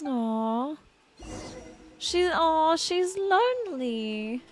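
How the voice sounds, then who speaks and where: A woman's voice: high voice, expressive, extremely slow, faint music behind. A woman livestreaming a game, chatting casually with gushing, giggly reactions to what she plays. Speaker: game streamer. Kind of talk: casual livestream gameplay commentary.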